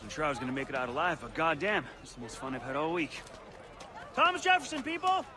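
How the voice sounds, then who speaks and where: An actor playing an excitable poor townsman in a game, with a folksy, colloquial way of talking. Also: a little high, quick pace. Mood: elated. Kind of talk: scripted game drama dialogue. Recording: busy outdoor crowd behind voice.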